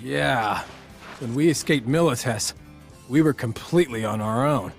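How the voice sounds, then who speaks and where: Slow, troubled young cadet. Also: male voice, medium pitch, vocal fry, soft background music. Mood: troubled, unsure. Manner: halting, uncertain. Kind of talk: video game acting.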